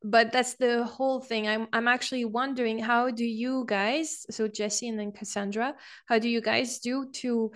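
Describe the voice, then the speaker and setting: A woman whose first language is French, hosting a casual podcast chat in English and hesitating often as she searches for words. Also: fairly high pitch. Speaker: podcast host. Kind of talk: casual conversation.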